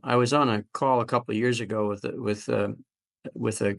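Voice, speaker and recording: A man in his seventies answering as an interview guest, speaking conversationally and haltingly, often repeating words as he reflects. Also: mid-range voice, creaky. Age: 70s. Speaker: fertility doctor, interview guest.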